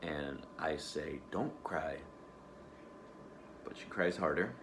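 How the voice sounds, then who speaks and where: A man's voice: extremely low pitch, slow, background noise. An actor playing the profane, guilt-ridden ghost of a male tiger in a stage monologue, telling his story with sardonic humor. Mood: wry. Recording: echoey room.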